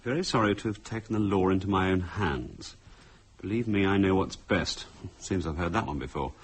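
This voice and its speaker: An actor with a British accent playing a wry, brisk, curious husband in a radio drama, his voice husky. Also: sits low. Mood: wry, decisive. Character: dry, brisk, curious husband eager for answers.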